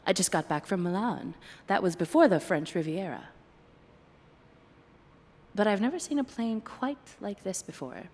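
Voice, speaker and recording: A woman's voice: medium pitch, big pitch swings, moderate pace, long pauses, darkish tone. Playfully sarcastic woman acting a flirty date, long reverb. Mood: teasing, sarcastic. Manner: playful, dryly sarcastic, hesitant asides. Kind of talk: ASMR roleplay, one-sided dialogue.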